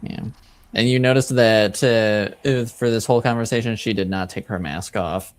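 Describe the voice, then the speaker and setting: A man narrating as game master in a halting, conversational, improvised way. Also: on the low side, fairly even intonation.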